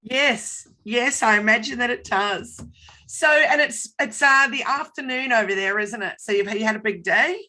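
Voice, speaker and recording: A woman's voice: fairly high pitch, moderate pace, pushed and bright. Bubbly woman hosting a video call. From Australia. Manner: bubbly, enthusiastic. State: slightly croaky voice. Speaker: host. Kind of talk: casual live interview.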